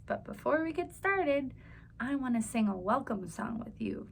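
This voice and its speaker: A woman telling stories to young children as a library storyteller, with simple, encouraging address and an animated picture-book reading style. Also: on the high side, normal speed, creaky, a little hiss or hum.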